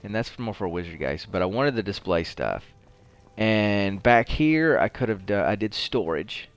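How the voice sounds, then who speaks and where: A man's voice: on the low side, sing-song, moderate pace. A man giving casual gameplay commentary, rambling and conversational as he thinks aloud. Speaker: host of a video game playthrough show.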